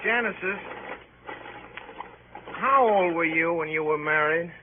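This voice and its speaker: A lovesick teenage boy in a vintage radio comedy. His voice is high and he argues with earnest self-importance. It sounds narrow and tinny, like an old broadcast. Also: takes it slowly, slight background noise. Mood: solemn, probing. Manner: pompous, argumentative, earnest.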